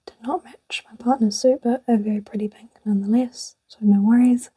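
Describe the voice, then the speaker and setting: A woman's voice: a little high, fairly even intonation, fairly quick, dark tone, slightly rough, dry recording. A young woman whispering in a rambling, chatty way for an ASMR video, close to the mic. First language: English. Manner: rambling and chatty, with little laughs. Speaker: ASMR channel host.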